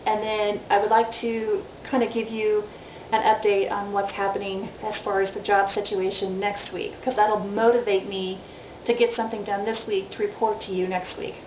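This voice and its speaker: A woman's voice: medium pitch, little pitch movement, moderate pace, few pauses. Woman in her forties, candid vlog chatter, self-deprecating, dull lo-fi sound, faint hiss. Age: 40s. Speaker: vlogger. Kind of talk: casual video diary. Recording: dull lo-fi audio in an echoey room with faint noise.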